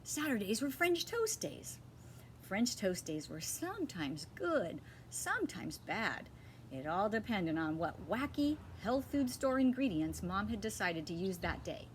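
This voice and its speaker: A woman reading a children's chapter book aloud like a teacher, with expressive, emphatic phrasing. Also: medium pitch, a little slow, slight background noise.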